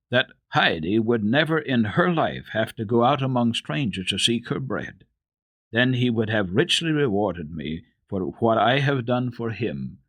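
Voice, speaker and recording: A man's voice: medium pitch, a bit bright, dry recording, pitched above the performer's own voice, exaggerated voice. A man voicing a decided, earnest old grandfather in a dramatic storybook reading, with emphatic delivery. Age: older. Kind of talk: dramatic reading of children's classic.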